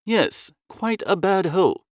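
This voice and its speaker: A man reads a comic novel in the first person. His voice is fairly high and very animated, breezy and theatrical, with a dry, close sound. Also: unhurried, no room sound. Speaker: audiobook narrator.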